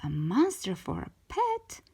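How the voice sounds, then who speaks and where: Woman voicing an excitable, earnest little storybook girl. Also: mid-range voice, unhurried, breathy edge, heavily acted. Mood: tender, wondering. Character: eager, anxious young girl hiding a secret pet.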